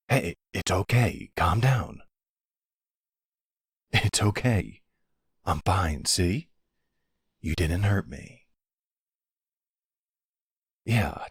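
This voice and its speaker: Man acting as a low, husky, gentle boyfriend. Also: dry recording, clean studio sound, full character voice. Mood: gentle, calming. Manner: slow, hesitant, soothing reassurance. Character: gentle, worried boyfriend soothing his werewolf girlfriend. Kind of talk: intimate boyfriend roleplay audio drama.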